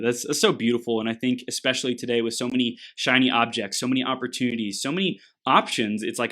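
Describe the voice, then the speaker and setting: A man's voice: mid-range voice, a bit bright. A man hosting an interview podcast, enthusiastic and affirming as he asks his guest questions. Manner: enthusiastic, affirming, frequent backchannels. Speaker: podcast host.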